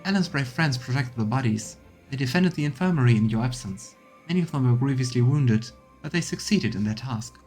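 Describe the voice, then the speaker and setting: A man's voice: mid-range voice, lively intonation, brisk, few pauses, a little mellow, soft background music, close to an everyday voice, poor audio. A man reading game dialogue aloud as an earnest, determined cleric who reports to a commander with emphatic phrasing.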